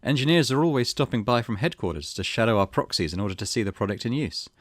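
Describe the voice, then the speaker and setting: A man's voice: medium pitch, lively intonation, quick pace, dry recording, studio quality, close to an everyday voice. A man voicing an earnest, plain-spoken female manager, reading her interview quotes from a visual novel.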